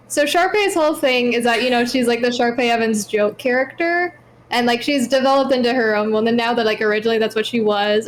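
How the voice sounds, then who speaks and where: A woman's voice: fairly high pitch, slight background noise. Eager young woman player. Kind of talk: casual chat.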